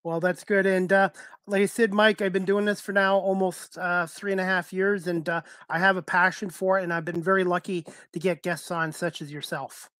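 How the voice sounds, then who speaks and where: A man's voice: high voice, level tone, moderate pace, pushed and bright, no room sound. A middle-aged man, hosting a talk show over a headset on a video call. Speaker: sports talk show host.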